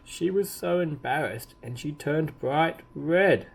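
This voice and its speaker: A man reading a children's story aloud expressively, with deliberate emphasis and drawn-out key words. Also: on the high side. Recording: clearly audible background noise.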